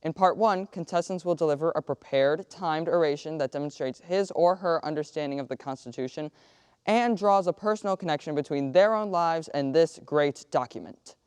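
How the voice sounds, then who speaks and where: An actor playing an official contest announcer, speaking in a formal, deliberate, projected way for the stage. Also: medium pitch, a little mellow, clean tone, no room sound, lightly acted. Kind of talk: theatrical monologue as announcement.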